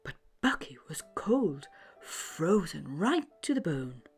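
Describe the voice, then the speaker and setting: A woman reading a children's bedtime story aloud, expressive and often dropping into a hushed, breathy delivery. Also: deep voice, slow pace, faint music behind. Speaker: storybook narrator.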